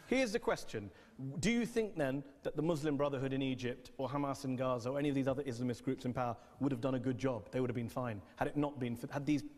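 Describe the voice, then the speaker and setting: A male TV interviewer presses hard, stacking rhetorical questions and cutting in on his guest. His voice sits at an ordinary middle pitch. It rings with the long reverb of a large debating hall. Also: quick pace, darkish tone. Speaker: TV interviewer host. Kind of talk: confrontational broadcast interview.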